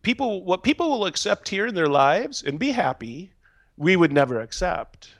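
A man's voice: high-pitched, big pitch swings, normal speed, dry recording. Rambling American man, close dry sound. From Minnesota, United States. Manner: rambling, peppers talk with "you know". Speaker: podcast guest. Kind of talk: casual conversational interview.

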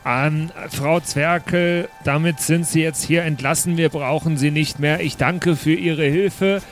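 Higher-pitched man playing a tabletop game. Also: fairly even intonation, a little slow, a little hiss or hum. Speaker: player in an improvised pen-and-paper audio play. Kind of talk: casual improvised role-play.

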